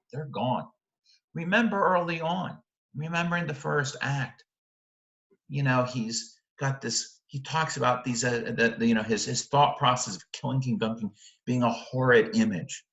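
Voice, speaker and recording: A man's voice: mid-range voice, fairly bright tone. A middle-aged man giving an explanatory literature lecture in an emphatic way, often posing rhetorical questions. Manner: emphatic, uses rhetorical questions and check-in tags. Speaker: literature teacher. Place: indoor room, close to a webcam. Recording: roomy, echoing sound.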